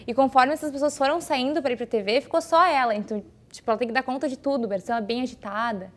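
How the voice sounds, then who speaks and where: A woman's voice: high voice, quick pace, fairly bright tone. A young woman, an actor chatting informally in an interview.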